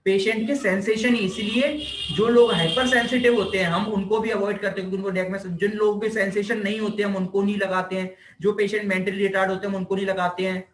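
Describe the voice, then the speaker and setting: Indian guy teaching, kinda high voice, chatty explaining w english tech terms, lots of room echo. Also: steady tone, quick pace, hardly any pauses, fairly bright tone, a little hiss or hum. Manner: conversational, repeats points, asks rhetorical questions; mixes in English technical terms. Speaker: physiotherapy lecturer. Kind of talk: informal explanatory lecture. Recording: echoey, reverberant room.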